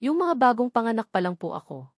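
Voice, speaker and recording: A woman's voice: a little high, big pitch swings, fast, no echo, clean studio sound. A woman narrator voicing an anxious young woman, polite, hesitant and evasive, with a Filipino accent, in an audio story. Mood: nervous, polite.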